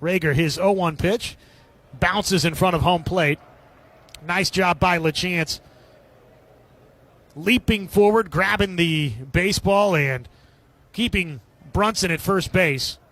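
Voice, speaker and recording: A male baseball announcer with a notably high voice delivers live radio play-by-play. He describes the game in clipped, descriptive phrases, as a sports commentator does on air. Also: slow, pauses often, fairly bright tone, dry recording.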